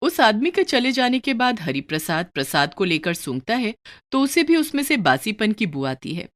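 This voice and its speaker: A woman's voice: mid-range voice, sing-song, moderate pace, fairly bright tone. A woman narrating a scripted moral story for a cartoon.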